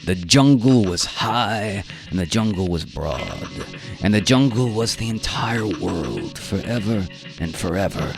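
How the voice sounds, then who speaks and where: A man narrating a dramatic science fiction story in a theatrical, deliberate manner over plucked guitar music. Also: fairly low pitch, expressive, vocal fry. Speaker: narrator and performer of radio drama. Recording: live performance with music bed.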